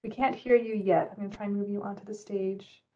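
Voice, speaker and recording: A woman's voice: medium pitch, steady tone, brisk, highs cut off. A woman in her thirties hosting a library event, patiently walking a guest through audio settings on a video call over a headset. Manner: patient, helpful, coaxing. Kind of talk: video call tech support chat. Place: indoor studio with a library banner backdrop.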